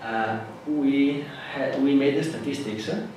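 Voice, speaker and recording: A man with an Italian accent, a native Italian speaker, giving a classroom lecture in a halting way with many fillers and restarts. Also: mid-range voice. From Italy. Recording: echoey hall from far off with noise.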